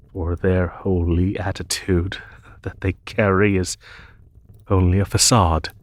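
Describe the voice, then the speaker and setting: A man playing a noble, weary, peace-seeking demon emperor in a fantasy audio roleplay, speaking in a formal, measured way. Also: sits low, no echo. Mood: bitter, warning. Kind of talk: fantasy audio roleplay, spoken softly to one listener.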